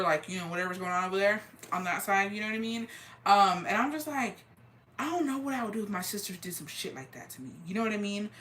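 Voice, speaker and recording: A deep-voiced woman from Texas chatting casually and gossiping on a livestream, slangy and loose, dropping in Spanish phrases. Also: sharp tone. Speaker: livestreamer reading viewer comments.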